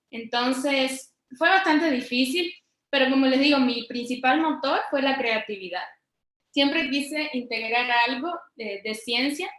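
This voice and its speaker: A woman's voice: fairly high pitch, a bit bright. A woman from Cuba answering interview questions informally, explaining things directly to her listeners as a scientist guest. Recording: roomy, echoing sound.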